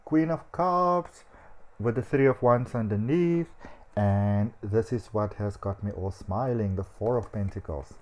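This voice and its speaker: A man giving a casual tarot reading as a psychic. He talks in a chatty, animated way, with frequent fillers. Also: lowish voice, a little slow.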